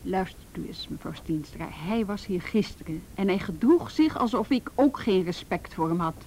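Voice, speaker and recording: A woman's voice: fairly low pitch, lively intonation, moderate pace, a little mellow, slight background noise. A woman acting a firm, businesslike juvenile police officer in a Dutch radio play. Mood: stern, probing.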